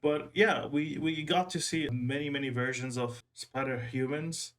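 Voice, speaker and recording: A man's voice: medium pitch, fairly bright tone. Man giving rambling casual commentary. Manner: rambling, halting, repeats himself. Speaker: reaction video host.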